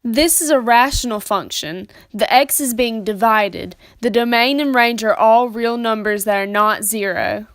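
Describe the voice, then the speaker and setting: A woman's voice: a little high, measured pace, hardly any pauses, fairly bright tone, no echo. A young woman giving scripted lesson narration as a student presenter, explaining plainly and methodically.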